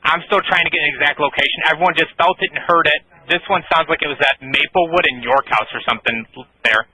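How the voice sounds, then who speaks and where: A man's voice: a little high, fast, crowd noise, rough recording. A man working as an emergency dispatcher, giving urgent dispatches over a two-way radio. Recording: emergency scanner radio audio.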